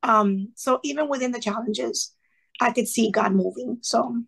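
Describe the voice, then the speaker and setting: High gravelly woman telling her story. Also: unhurried, low-quality recording. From Cuban family background. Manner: earnest, animated, quoting others in lively asides. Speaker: guest, former classroom teacher. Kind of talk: conversational storytelling.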